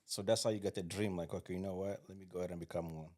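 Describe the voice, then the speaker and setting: A man hosting a podcast, welcoming his guest with upbeat enthusiasm and quick affirmations. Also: low-pitched, steady tone, a little mellow. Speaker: podcast host.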